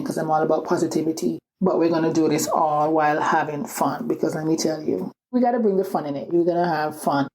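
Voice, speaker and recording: A young woman with an Antiguan island accent hosts her own podcast, talking alone into the mic. Her voice sits low, and she keeps her talk upbeat and chatty, with plenty of casual asides to her listeners. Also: warm tone, rough recording. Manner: upbeat and chatty, many "you know" asides. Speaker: podcast host. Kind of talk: solo podcast monologue.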